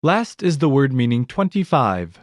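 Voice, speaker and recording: Lively-pitched male lesson narrator. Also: normal speed, dry recording, clean studio sound. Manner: even, announcing prompts in a fixed pattern. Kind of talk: scripted audiobook narration.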